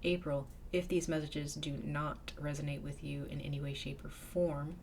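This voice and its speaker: A woman's voice: low-pitched, fairly even intonation, moderate pace, creaky, a little mellow, slight background noise. A woman giving a casual tarot reading, slightly nasal as she gets over a cold, chatty and sometimes dropping to a whisper. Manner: chatty, drops to a whisper in invocations. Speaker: tarot reader.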